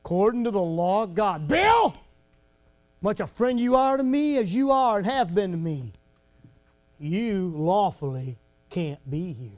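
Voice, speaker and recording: A man preaching a folksy sermon to a congregation, joking and laughing between lines and breaking into shouts at his high points. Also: very animated, measured pace.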